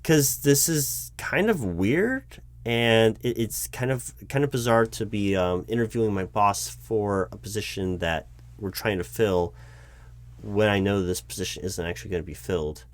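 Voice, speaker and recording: A man's voice: on the low side, normal speed. A man playing a flustered, awkwardly polite recruiting manager in a one-sided interview roleplay, speaking hesitantly and often repeating his words.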